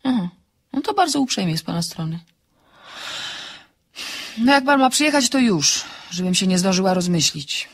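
An actress playing a witty, outspoken single woman in an audio drama, bantering briskly with polite irony. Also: mid-range voice, big pitch swings, slightly rough. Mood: dry, teasing. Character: witty, outspoken single woman writer, teasing and self-ironic. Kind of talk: Polish audio drama dialogue.

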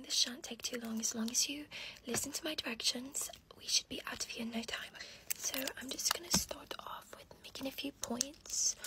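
A woman in her twenties whispers gently and reassuringly, giving soft instructions in an ASMR roleplay close to the mic. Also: a little high, low-quality recording. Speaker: ASMR creator in a costume fitting roleplay.

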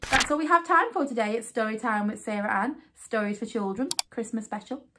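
A woman's voice: on the high side, brisk, background noise. A woman in her thirties hosting storytime, reading aloud to young children in a sing-song way.

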